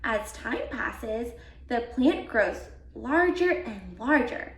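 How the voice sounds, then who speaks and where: A woman reading a children's picture book aloud as a volunteer, with deliberate, expressive emphasis for young listeners. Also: on the high side. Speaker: volunteer read-aloud reader. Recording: roomy, echoey sound.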